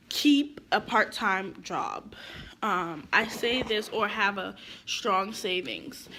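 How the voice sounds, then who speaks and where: A woman's voice: medium pitch, sharp tone, a little hiss or hum. A young woman giving casual real estate advice to camera, her voice stuffy from being sick, chatty and rambling with lots of fillers.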